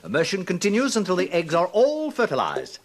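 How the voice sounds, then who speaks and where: Radio drama director: brisk, pompous lecturer, very lively intonation, crackly vintage sound. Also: male voice, high-pitched, full character voice. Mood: brisk lecturing. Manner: pompous, lecturing, hesitating with small uhs. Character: brisk, self-satisfied male hatchery director lecturing students. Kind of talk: 1950s radio drama acting. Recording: noisy vintage radio recording with clicks.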